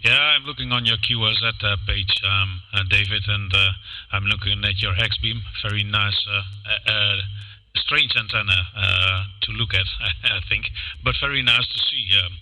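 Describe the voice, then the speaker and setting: Dutch ham radio guy, lowish voice but really bright and sharp, lots of uhs and ums. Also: steady tone, normal speed, low-quality recording. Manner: hesitant, many fillers. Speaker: amateur radio operator.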